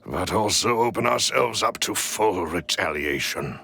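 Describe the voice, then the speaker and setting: A gravelly, loyal butler. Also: male voice, low voice, expressive, bright, forceful tone, slight background noise, heavily acted. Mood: cautious, serious. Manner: formal, deferential, measured explaining. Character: loyal, grave male butler and shrewd confidant. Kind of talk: dramatic video game cutscene acting.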